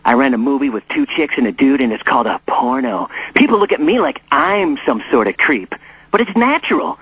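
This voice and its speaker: An actor playing a sleazy, shameless, aggrieved radio caller with a raspy voice and argumentative manner. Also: male voice, medium pitch, lively intonation, brisk, a bit bright, no room sound, rough recording. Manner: indignant, argumentative. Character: sleazy, aggrieved male caller, shameless about his vices.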